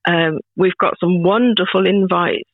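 A woman in her fifties, a proud grandmother, chatting as a podcast guest in a warm, rambling way with many restarts. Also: fairly low pitch, fairly even intonation, unhurried, no room sound. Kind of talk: casual chat. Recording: tinny phone-like line, dry.

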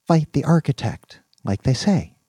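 A man's voice: mid-range voice, very animated, measured pace, warm tone, breathy edge, dry recording, voice pushed up. A man voicing an eager, naive young male college student in an audiobook, chatting in casual slang. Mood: eager, chatty. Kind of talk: audiobook character dialogue.

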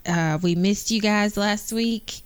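Slow-talking woman co-hosting a podcast. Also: medium pitch, clean tone. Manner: unhurried, chatty, playful. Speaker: podcast co-host. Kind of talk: casual family podcast chat.

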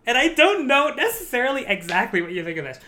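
Young guy, high shrill bright voice, laughs a lot, goofy self-mocking podcast chat. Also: quick pace. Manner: laughs often, self-mocking, animated storytelling. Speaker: podcast guest. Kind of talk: casual chat.

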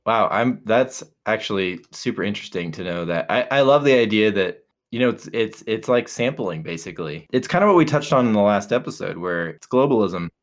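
Man, low voice, laid-back podcast co-host who rambles a bit and restarts sentences. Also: a little fast, few pauses. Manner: relaxed, rambling, many fillers and restarts. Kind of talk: casual chat.

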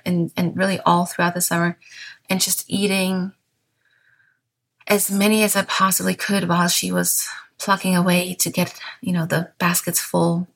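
A woman in her thirties with a German accent, hosting a gentle podcast monologue in a soft, unhurried, mindful way. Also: on the low side, gravelly. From Bavaria, southern Germany. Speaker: podcast host.

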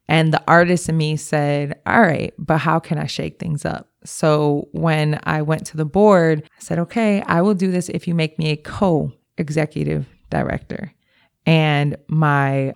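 Candid woman with a gravelly voice. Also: deep voice. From Milwaukee, USA. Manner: candid, emphatic, slangy. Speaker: executive director, guest. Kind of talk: reflective interview answers.

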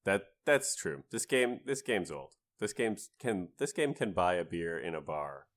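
A man on a podcast panel, chatting casually about video games with halting delivery and wry jokes. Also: deep voice, big pitch swings. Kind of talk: casual video game discussion.

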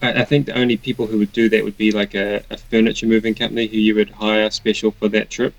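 A man's voice: lowish voice, flat delivery, quick pace, vocal fry, no echo. A man, co-hosting a podcast and explaining things in a laid-back way. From New Zealand. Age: 30s. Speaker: podcast co-host. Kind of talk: casual chat. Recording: dull dry sound.

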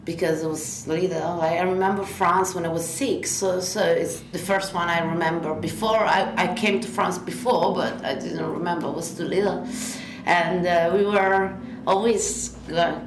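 A woman's voice: lowish voice, steady tone, normal speed, hardly any pauses. A young woman from Italy, speaking English with an Italian accent as an interview guest, rambling through lists with many fillers. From Italy, Piedmont. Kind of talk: casual interview chat. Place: apartment in Bordeaux. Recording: roomy with faint noise.

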